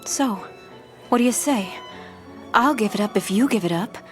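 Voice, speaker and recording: A seductive female crime boss, played by an actress, confident and playful. Her fairly high voice is cool and teasing, in noir audio drama style, with music playing behind her. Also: animated, moderate pace, natural voice. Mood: flirtatious.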